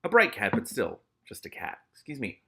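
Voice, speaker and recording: Animated male reader with a bright voice. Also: mid-range voice, normal speed, creaky, breathy edge. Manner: dramatic, exclamatory, swears in reaction. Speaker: host reading a novel aloud with commentary. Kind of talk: expressive audiobook-style reading with casual reactive commentary.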